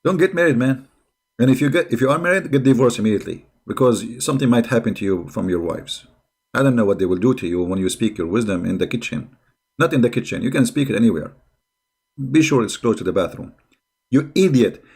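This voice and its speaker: A man's voice: medium pitch, expressive, fast, darkish tone, husky. Sarcastic, combative man. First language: not English. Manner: sarcastic, combative, repeats rhetorical questions. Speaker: host of a religious debate channel. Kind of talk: reaction commentary, mocking polemic.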